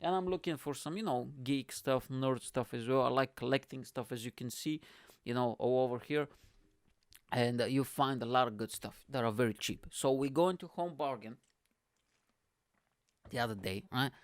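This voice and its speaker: A man's voice: mid-range voice, brisk, clear, resonant tone, no room sound, clean studio sound. A man in his thirties with a Bulgarian accent, hosting his own podcast in a rambling, joking monologue from a small home room into a desk mic. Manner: animated, gesturing a lot, joking and rambling. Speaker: podcast host. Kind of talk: casual comedic monologue. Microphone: studio mic on desk in front.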